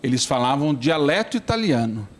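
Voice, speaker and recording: A man's voice: mid-range voice, big pitch swings, normal speed. A man with a Brazilian accent, a priest talking as an interview guest, explaining conversationally and often checking with short tag questions.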